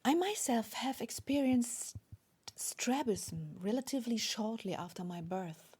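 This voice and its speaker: Female podcast host, German native with German accent, dark soft tone, husky breathiness, formal spiritual delivery. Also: a little high. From Germany or German-speaking Europe.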